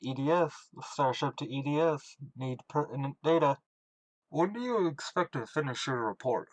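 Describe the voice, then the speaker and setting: A man's voice: mid-range voice, animated, normal speed, dry recording, low-quality recording. A man playing a businesslike starship radio operator in a radio drama, clipped and procedural, over a ship radio.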